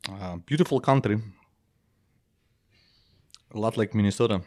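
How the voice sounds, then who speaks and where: A man from Belarus with a Belarusian accent, chatting casually on a podcast and talking in a plain, halting way full of fillers. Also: fairly low pitch, darkish tone. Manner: plain, halting, many "uh" and "yep". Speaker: jiu-jitsu competitor.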